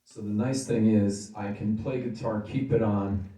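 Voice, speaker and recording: A man with a fairly low, somewhat mellow voice talks through a product demo. His delivery is informal and explanatory, like a gear reviewer chatting to viewers. The sound is noticeably roomy. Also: fairly even intonation, unhurried. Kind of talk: casual product demo talk.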